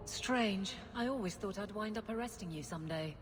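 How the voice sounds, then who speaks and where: A woman's voice: medium pitch, normal speed, a little hiss or hum, natural voice. An actress playing a blunt, no-nonsense female city guard with a teasing edge in a fantasy game. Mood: wry. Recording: long reverb, music behind.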